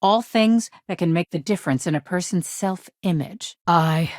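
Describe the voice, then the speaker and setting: Actress doing a no-nonsense company lawyer lady for a game, curt and formal, kinda low voice, very dry close sound. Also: moderate pace, darkish tone, dry recording, lightly acted. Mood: firm, formal. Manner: crisp, formal, businesslike, curt. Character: brisk, stern corporate lawyer woman, guarded and impatient. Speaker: video game character voice. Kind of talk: scripted game dialogue.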